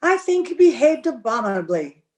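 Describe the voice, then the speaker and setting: A woman playing an earnest, defiant, smitten young gentlewoman with a prim manner in an old-time radio drama. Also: high voice, big pitch swings, normal speed, slight background noise. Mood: indignant, defiant.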